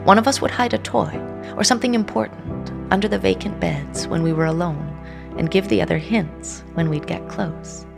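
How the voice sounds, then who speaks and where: A woman voicing a gravelly, low-voiced young seer. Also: normal speed, music in the background. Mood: tense. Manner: intense, hushed inner narration. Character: young woman seer reading objects' blood history. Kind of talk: first-person audio drama narration. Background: orchestral score under the voice.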